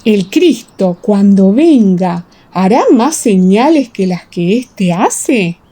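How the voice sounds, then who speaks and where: Woman as a wondering townsperson, mellow and swooping. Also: mid-range voice, animated, slightly rough. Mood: impressed. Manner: questioning, theatrical, deliberate. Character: wondering townsperson of ancient Jerusalem. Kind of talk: radio-theatre Bible dramatization.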